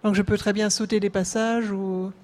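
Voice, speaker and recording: A woman writer speaks on a discussion panel in a flat, even tone. She stops and restarts her phrases as she explains her thinking. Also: mid-range voice, normal speed.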